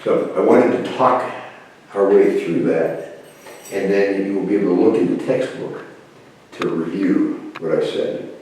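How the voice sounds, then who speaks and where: Older male lecturer, patient and halting, low mellow voice, recorded from across an echoey classroom. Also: slight background noise. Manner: explains slowly, with pauses and restarts. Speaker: Greek lecturer. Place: university room with a whiteboard. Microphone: camera mic from across the room. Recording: echoey room, voice from far off.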